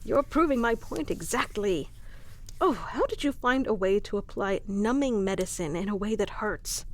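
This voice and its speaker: A woman's voice: mid-range voice, animated, normal speed, natural voice. A woman playing a proud, protective warrior queen in an intimate fantasy audio roleplay, speaking with formal, wry, commanding diction. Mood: teasing, pained.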